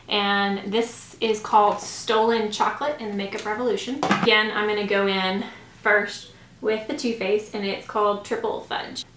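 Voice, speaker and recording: A woman's voice: mid-range voice, fairly bright tone. A woman in her thirties chatting casually to camera as a beauty vlogger, informal and gushing, with a slightly scratchy voice. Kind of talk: makeup review, casual chat to camera. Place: small home room, indoors. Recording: roomy echo.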